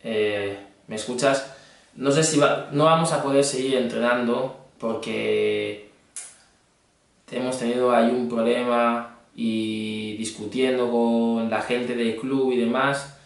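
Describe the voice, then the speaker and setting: A man's voice: medium pitch, fairly even intonation, measured pace, creaky. A young adult man chatting casually on prank phone calls as a fitness trainer. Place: indoor green screen studio. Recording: very echoey room, distant mic.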